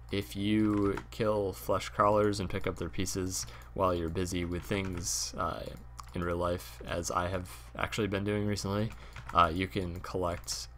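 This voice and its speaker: Hoarse young man rambling over gameplay. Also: deep voice, fairly bright tone, a little hiss or hum. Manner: rambling, many fillers and restarts. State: hoarse, losing voice from a cold. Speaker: gaming YouTuber and streamer. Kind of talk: casual talk over gameplay.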